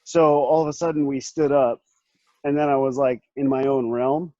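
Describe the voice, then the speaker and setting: A man's voice: mid-range voice, fairly even intonation, normal speed, dark tone, no room sound, lo-fi sound. A man in his late thirties, hosting a casual group chat, rambling with many restarts and laughing often. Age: 30s. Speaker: podcast host.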